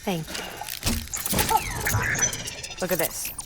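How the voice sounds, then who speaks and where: A woman acting as a calm, weary young scientist and mother in a cinematic video game, with an understated, matter-of-fact delivery. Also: very slow, very noisy.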